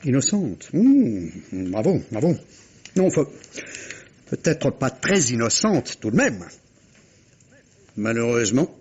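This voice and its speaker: A man playing a shrewd, sardonic, authoritative police inspector in 1950s French radio mystery style, questioning deliberately. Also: mid-range voice, darkish tone, husky. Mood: stern, sardonic. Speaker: actor. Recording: dull vintage radio recording.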